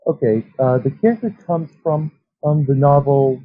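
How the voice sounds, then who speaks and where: A man's voice: mid-range voice, moderate pace, slightly rough, dry recording. A male guest writer who answers hesitantly and modestly, with lots of fillers. His voice comes over a tinny, phone-like line, and the sound is dry. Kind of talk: casual interview.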